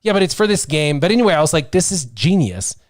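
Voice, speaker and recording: An American man hosting a casual chat, with animated, joking banter. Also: on the high side, quick pace, dry recording, clean studio sound. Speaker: show host.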